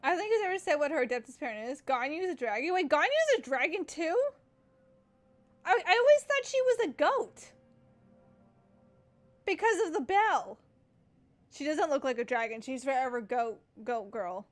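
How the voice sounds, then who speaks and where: A chatty woman streamer with a high voice. She comments casually on a game as she plays, reacting and thinking out loud. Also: expressive, moderate pace, long pauses. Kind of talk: casual live playthrough commentary.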